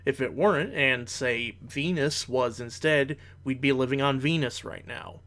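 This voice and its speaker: A man's voice: medium pitch, measured pace, fairly bright tone. A man delivering scripted rebuttal commentary in formal wording, with an analytical and bluntly critical manner. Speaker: commentator, video host.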